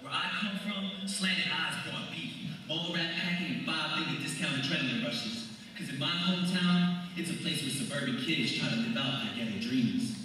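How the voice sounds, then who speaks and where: A man's voice: fairly high pitch, moderate pace, hardly any pauses, bright, forceful tone. A man performing spoken word poetry with a rhythmic, declamatory delivery, recorded in a hall. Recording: echoey hall, distant mic, faint music.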